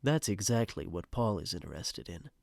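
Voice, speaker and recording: A man's voice: low-pitched, very animated, moderate pace, vocal fry, over music, exaggerated voice. A man narrating a scripted audio drama in a wry, teasing manner.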